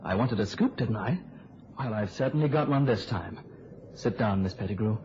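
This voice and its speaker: Actor as a brisk, animated radio-play newsman. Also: on the low side, natural voice. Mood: commanding, triumphant. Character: brisk, commanding male newspaper reporter. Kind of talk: old-time radio drama acting. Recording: noisy, muffled old radio recording.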